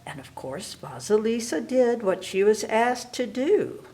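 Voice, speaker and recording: A woman librarian reading a fairy tale aloud at storytime, gentle and at times dropping to a whisper. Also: on the high side, sing-song, a little slow. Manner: gentle, dips into soft whispers. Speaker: children's librarian.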